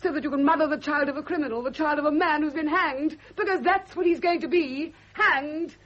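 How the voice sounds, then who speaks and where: A woman's voice: high voice, fairly quick, close to an everyday voice. An actress playing a bitter, jealous woman, cold and scornful, in a radio drama. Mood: venomous fury. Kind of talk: 1970 radio drama acting. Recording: dull, band-limited old radio recording.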